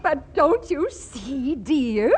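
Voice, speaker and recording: An actress playing a gushing, starry-eyed daughter with dramatic, swooning delivery in a 1940s radio comedy. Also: female voice, high voice, sing-song, darkish tone, some chatter behind, exaggerated voice. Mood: swooning, impatient. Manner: breathless swooning, drawn-out words. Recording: noisy vintage radio broadcast.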